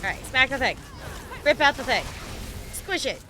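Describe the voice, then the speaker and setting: A woman's voice: sits high, expressive, normal speed, noisy background. A woman streamer chatting casually while she plays, laughing often and talking to the game. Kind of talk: casual chat while gaming.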